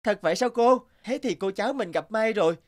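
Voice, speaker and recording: A man's voice: high-pitched, a little fast, close to an everyday voice. A man voicing an earnest, devoted young peasant in a cartoon, with a plaintive manner. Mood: caring, worried. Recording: music behind the voice.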